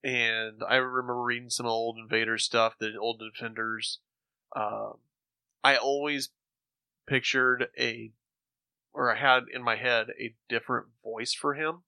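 A man's voice: mid-range voice, fairly even intonation, measured pace, creaky, bright, forceful tone, clear, resonant tone, no room sound, low-quality recording. A man giving his thoughts casually as a podcast panelist. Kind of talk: casual chat.

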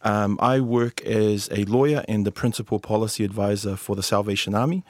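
Low-voiced New man, halting speech. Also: fairly even intonation, a little mellow, dry recording. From New Zealand. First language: Samoan. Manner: hesitant, many filler sounds and restarts. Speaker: lawyer and policy advisor, podcast guest. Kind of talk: casual conversational introduction.

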